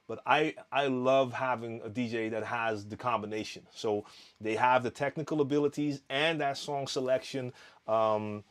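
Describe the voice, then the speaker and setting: A man hosts a laid-back live stream and answers viewers' questions. He talks in an easy, rambling way with plenty of "um"s and false starts. His voice sits at an ordinary middle pitch for a man. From Netherlands. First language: Dutch. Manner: relaxed, chatty, reading viewer comments aloud with frequent fillers and restarts. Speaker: DJ hosting a live Q&A.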